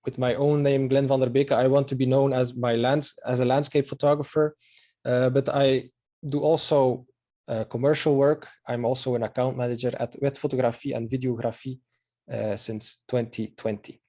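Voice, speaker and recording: A Belgian man in his thirties, speaking English as a second language after Dutch, with a Belgian Dutch accent. His voice is mellow and dark-toned, and he pauses often with filler sounds in a friendly, earnest manner. He presents over a video call microphone. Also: mid-range voice, little pitch movement. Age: 30s. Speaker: photographer giving a presentation. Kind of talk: online slideshow presentation.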